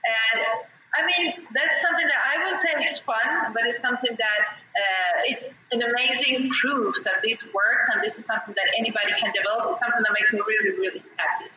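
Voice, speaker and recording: A woman voice coach tells animated stories in an interview. Her tone is very bright and a bit dull in band. She sounds distant in a very echoey space. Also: on the high side, brisk, slight background noise. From Argentina. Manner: animated storyteller who demonstrates voices. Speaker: voice and presence coach. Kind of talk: podcast interview guest.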